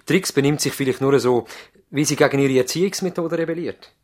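Radio drama: man playing worried country physician, Swiss accent, medium-pitched slightly rough voice, formal and earnest. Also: fairly quick, close to an everyday voice. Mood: explaining. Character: earnest, worried young male country doctor. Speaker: actor playing a doctor. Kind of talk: German-language radio drama acting. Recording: slightly veiled 1970s radio drama sound.

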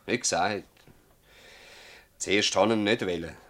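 Plaintive, low-voiced Swiss German farmer. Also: male voice, slow, a bit bright. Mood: bitter. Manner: plaintive, blunt, insistent. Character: troubled, stubborn older male village farmer pleading for his farmhand. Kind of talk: radio drama acting in dialect.

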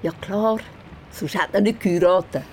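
A woman plays a gruff but caring old grandmother with a Swiss German accent. She is brusque, scolding and teasing in radio-play dialogue, over faint ambient noise. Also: mid-range voice, normal speed, close to an everyday voice.

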